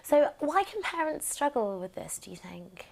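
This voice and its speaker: A woman's voice: high voice, big pitch swings, moderate pace, gravelly. A British woman presenting and interviewing, moving between scripted links and agreeable, affirming chat with her guest. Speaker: interviewer and host. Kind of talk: scripted introduction and chatty interview.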